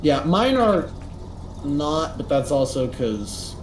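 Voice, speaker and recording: Lively teen guy in an echoey room. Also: on the high side, slow. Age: teens. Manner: animated, rambling with many fillers. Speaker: co-host. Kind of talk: casual chat. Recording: echoey room, faint noise.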